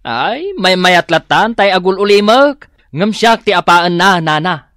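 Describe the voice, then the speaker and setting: A man's voice: high-pitched, animated, a little slow, a bit bright, no room sound, clean studio sound. An actor playing a complaining, resigned married village man in an Ilocano radio drama. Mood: resigned complaint.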